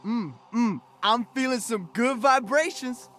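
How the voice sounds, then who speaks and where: Upbeat, high-voiced young musician hero. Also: male voice, a little slow, a bit bright, faint music behind. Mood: laid-back, playful. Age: young adult. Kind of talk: video game character voice acting.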